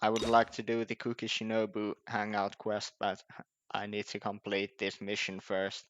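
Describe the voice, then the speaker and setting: A man giving casual gameplay commentary, thinking aloud in a halting, repetitive way as he plans. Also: fairly low pitch, completely flat delivery, fairly bright tone, no echo. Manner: halting, repetitive, planning as he goes. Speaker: gaming video creator. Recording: dull lo-fi mic, game music behind. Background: faint game music.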